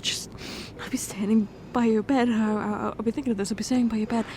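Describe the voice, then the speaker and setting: A woman's voice: fairly high pitch, steady tone, a little mellow, a little hiss or hum, close to an everyday voice. A woman playing a shaky-voiced, tearful young fiancée. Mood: grief-stricken. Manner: halting, stammering, repeating words. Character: distraught young woman fiancée, loving, emotionally raw. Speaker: ASMR roleplay performer. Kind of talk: ASMR audio roleplay.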